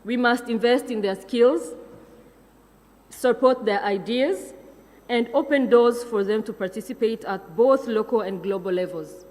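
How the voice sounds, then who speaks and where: A young woman from Rwanda gives a prepared conference talk, her voice sitting somewhat high. She reads her script steadily, with a few fillers and quick self-corrections. A long hall reverb trails behind her words. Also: a little mellow. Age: young adult. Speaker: conference speaker.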